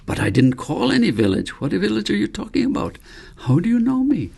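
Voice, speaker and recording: A man's voice: high voice, huge pitch swings, brisk, vocal fry, darkish tone, breathy edge, pitched above the performer's own voice, full character voice. A man reading the part of a bewildered schoolboy in a storybook, asking insistent questions full of doubt. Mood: confused.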